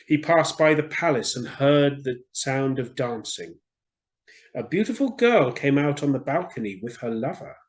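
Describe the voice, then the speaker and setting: Theatrical male storyteller, very animated pitch. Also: moderate pace. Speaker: storyteller for children. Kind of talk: expressive story reading for children.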